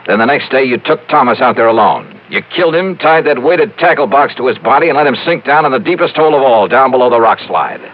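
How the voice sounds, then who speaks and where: Casting: male actor as assured, dry American private investigator for golden-age radio, animated mid-pitched voice, dulled broadcast sound. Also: fast talker, few pauses, lightly acted. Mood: accusing. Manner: clipped, sardonic, assured. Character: hard-boiled male insurance investigator, dry and sardonic. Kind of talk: 1950s radio detective drama and narration. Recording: muffled old radio broadcast, loud music.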